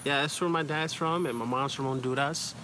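A man's voice: medium pitch, fairly even intonation, fairly quick, pushed and bright, slight background noise. A man with a Chicago accent in casual, slangy podcast chat, joking, swearing and rambling as a guest. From Chicago, USA.

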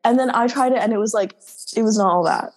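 A woman's voice: fairly high pitch, fast talker. A young woman co-hosting a casual podcast, sniffling from a cold and chatting with lots of fillers. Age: young adult. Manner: chatty, filler-heavy, laughing. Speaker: podcast co-host. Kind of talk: casual chat.

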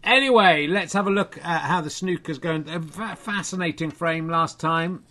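A man whose speaking voice sits a little higher than most men's. He delivers his comedy patter in a dry, deadpan way and keeps wandering off on rambling asides as he reads. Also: normal speed. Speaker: comedy podcast host. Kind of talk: mock football results reading and chat.

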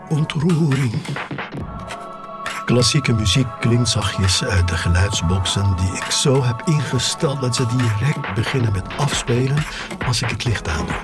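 Audio drama narrator: obsessive, sly collector, mid-pitched, very unhurried, music underneath. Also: male voice. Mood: reverent. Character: sly, obsessive sneaker-collecting farmer, narrating his tale. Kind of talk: scripted first-person audio drama narration.

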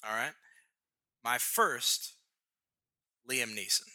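An excitable man co-hosting a podcast, talking with emphatic enthusiasm. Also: medium pitch, drawn-out words, dry recording, clean studio sound. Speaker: podcast co-host. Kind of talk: casual podcast chat.

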